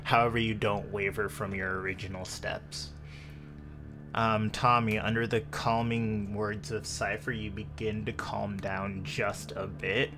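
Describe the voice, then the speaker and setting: A man narrating a tabletop horror roleplay as game master, with deliberate, descriptive storytelling. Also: on the low side, flat delivery. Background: clear background music.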